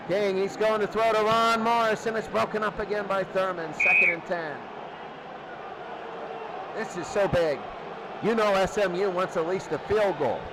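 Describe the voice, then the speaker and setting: A man calling football play by play in short, clipped, excitable phrases. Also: high voice. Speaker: play-by-play sports commentator. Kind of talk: live American football commentary. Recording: noisy crowd bed behind commentary.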